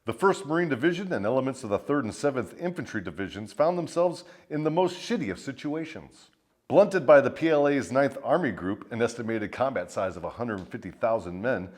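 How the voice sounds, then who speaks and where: A man hosting a military storytelling show, delivering dramatic scripted tales with crude, swaggering, profane humour. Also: mid-range voice. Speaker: host of a military storytelling show, a first sergeant.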